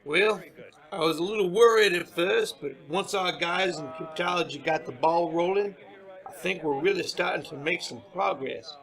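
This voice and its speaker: An actor playing an authoritative military general in charge of a project, brisk and commanding, in radio drama style. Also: male voice, sits high, vocal fry, fairly bright tone. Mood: genial, hopeful. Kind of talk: old-fashioned radio drama acting.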